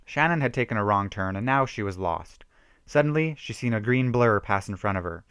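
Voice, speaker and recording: A man's voice: fairly low pitch, expressive, a little fast, fairly bright tone, clear, resonant tone, dry recording, clean studio sound. A man giving an amateur dramatic reading of a horror story, with theatrical emphasis.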